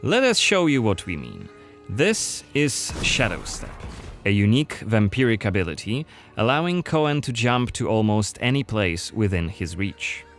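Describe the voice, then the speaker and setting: A man's voice: on the low side, huge pitch swings, moderate pace, fairly bright tone, faint music behind. A man narrating a scripted gameplay showcase as its presenter.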